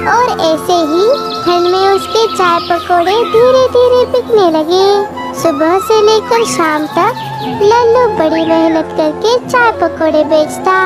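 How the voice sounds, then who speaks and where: A storyteller reading scripted narration for a children's cartoon tale. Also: very high-pitched. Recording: loud music behind the voice.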